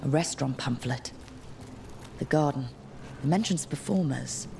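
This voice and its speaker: A woman's voice: a bass voice, a little slow, vocal fry, background noise, exaggerated voice. A woman voicing a cool, observant detective in a fantasy video game, thinking aloud in a terse, matter-of-fact way. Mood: focused, deducing.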